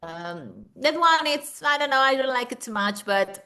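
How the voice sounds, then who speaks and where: A woman's voice: sits high, sing-song, normal speed, sharp tone. A woman from Peru who grew up in Italy, a guest in casual podcast chat, enthusiastic and often repeating her words. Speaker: podcast guest, makeup artist.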